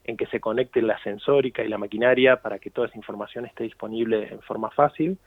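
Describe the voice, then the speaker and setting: An Argentine man with an Argentine accent, giving measured, explanatory answers as a guest in a radio interview. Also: on the low side, fairly even intonation, no echo. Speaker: guest, company CEO. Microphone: phone. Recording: tinny phone line.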